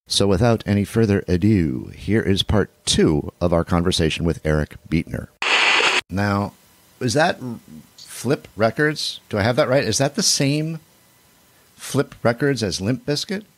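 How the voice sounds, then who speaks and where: A man hosting a podcast, reading the intro and asking questions in a smooth radio-announcer manner. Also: fairly low pitch, expressive, unhurried. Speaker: podcast host.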